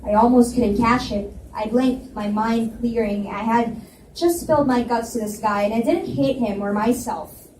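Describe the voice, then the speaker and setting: A woman reads a story aloud with expressive first-person narration. Her voice sits fairly high. It comes from a distance in an echoing library room, with faint room noise behind. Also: moderate pace. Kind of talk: live reading of romance novel.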